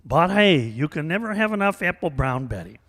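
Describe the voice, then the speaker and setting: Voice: medium pitch, very animated, fairly quick, fairly bright tone. A performer playing a folksy, eager male small-town morning radio host in a live comic radio play, with exclamatory patter. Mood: folksy, upbeat. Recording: reverberant hall, live stage performance.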